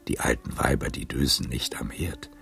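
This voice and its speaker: Gravelly, very deep old Casanova. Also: male voice, fairly bright tone, soft background music, exaggerated voice. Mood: wistful, dreamy. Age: older. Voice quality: husky, gravelly, breathy murmur. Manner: slow, halting, savouring storytelling. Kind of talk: intimate radio drama acting.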